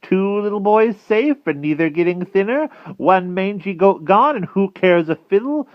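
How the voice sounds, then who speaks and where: A man's voice: sits high, expressive, normal speed, no echo, highs cut off, pitched above the performer's own voice. A man voicing a cruel storybook witch, an older woman who gloats and coos with theatrical menace. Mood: gloating chant. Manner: theatrical cooing, gleefully menacing.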